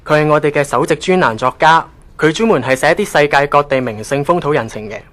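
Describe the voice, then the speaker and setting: A man's voice: a little high, fast, a bit bright, close to an everyday voice. A man with a Hong Kong accent playing a chatty, familiar and teasing young office gofer in a radio drama. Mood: friendly, breezy. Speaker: voice actor in an online radio drama. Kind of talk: casual radio drama dialogue.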